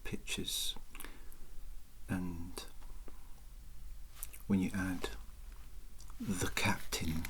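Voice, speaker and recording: A woman playing an aloof, sardonic female alien pilot in a soft, breathy whispered ASMR roleplay. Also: rumbling low, little pitch movement, heavily acted, rough recording. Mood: dryly offended. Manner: slow, deliberate, dryly sardonic.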